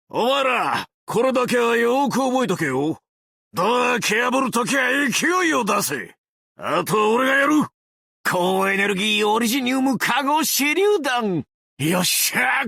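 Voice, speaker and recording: A man playing a brash, cocky explosives fanatic with a tail in an anime game, talking in rough, swaggering slang with a gravelly voice. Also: high voice, pushed and bright, no room sound, clean studio sound, full character voice. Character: brash tailed male explosives fanatic, cocky bureau officer. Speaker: game character voice lines. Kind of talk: Japanese anime game voice acting.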